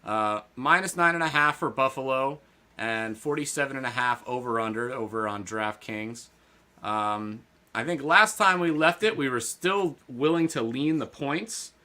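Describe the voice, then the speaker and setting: Man, co-host, very bright voice, casual betting chat, rambling with fillers. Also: mid-range voice, a little slow. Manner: loose and rambling, many fillers and restarts. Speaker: podcast co-host.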